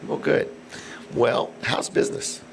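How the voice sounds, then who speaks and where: A man hosting a live local radio show with a raspy voice, chatting in an easygoing, joking way. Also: a little slow, noisy background. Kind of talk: casual live radio chat. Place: restaurant set for live broadcast.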